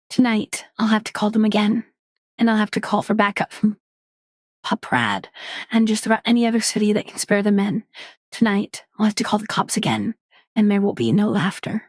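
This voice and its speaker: Female horror narrator, animated delivery, dry close recording. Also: no echo. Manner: measured storytelling, drops low and quiet for tense moments. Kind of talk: dramatic reading of a horror story.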